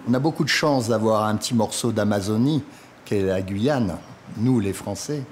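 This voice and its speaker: A man's voice: lowish voice, moderate pace, a little mellow. A man speaking spontaneously in a documentary interview as an insect collector, explaining things point by point. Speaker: insect collector and exhibition guide. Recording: clear background noise, natural ambience.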